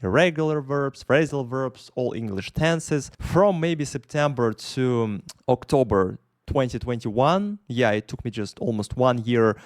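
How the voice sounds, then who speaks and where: A man's voice: mid-range voice, lively intonation, a little slow, hardly any pauses, no room sound. A man from Russia with a Russian accent, talking unscripted to his camera and rambling with many fillers and restarts. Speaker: YouTuber. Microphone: camera in front of him.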